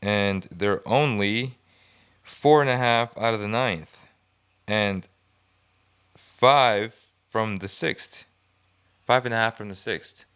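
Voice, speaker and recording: A young adult man hosting a sports podcast, hoarse and raspy from a cold, giving frustrated, emphatic commentary. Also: fairly low pitch, slow, pauses often, fairly bright tone. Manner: frustrated, emphatic, thinking aloud. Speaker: podcast host.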